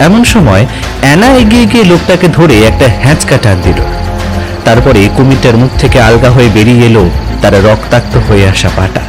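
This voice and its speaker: A man's voice: mid-range voice, lively intonation, a little fast, music in the background, low-quality recording. A man narrating adventure fiction as a dramatic, scripted audio story.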